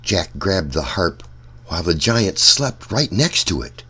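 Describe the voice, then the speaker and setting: Very deep, slow male storyteller. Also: sing-song, pushed and bright, breathy edge. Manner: deliberate, measured phrasing. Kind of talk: children's read-aloud narration.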